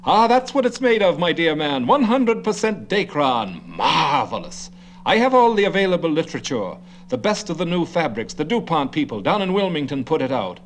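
Brief: man voicing a gabby, condescending know-it-all older man, pitched a bit high, audiobook reading with faint hum. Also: sing-song, moderate pace. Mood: chattering. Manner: chattering, lecturing, condescending.

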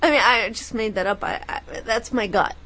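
A woman co-hosting a call-in radio show, chatting loosely with quick jokes and asides. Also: fairly high pitch, pushed and bright. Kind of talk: casual radio chat taking callers.

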